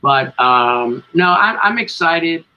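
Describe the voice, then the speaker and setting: A man's voice: on the high side, lively intonation, takes it slowly. A middle-aged man with an American accent chatting casually as an interview guest, talkative and self-deprecating over a video call. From Connecticut, United States. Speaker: guest, tribute band singer. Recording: dull lo-fi audio.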